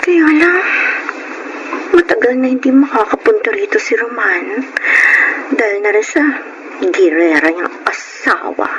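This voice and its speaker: A high-voiced, coquettish young mistress in a Filipino radio drama. She coos her endearments slowly and sweetly, greedy for gifts. The recording is clearly noisy. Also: animated, dull audio. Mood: self-satisfied. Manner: coy, cooing, drawn-out endearments.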